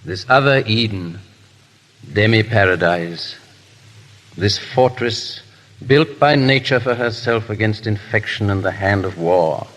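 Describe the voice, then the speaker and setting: A man's voice: on the low side, expressive, a little slow, fairly bright tone. A man acting a suave, foppish English aristocrat hero in a 1930s radio drama, drawling and theatrically polite. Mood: tender, solemn. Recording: reverberant 1930s radio broadcast.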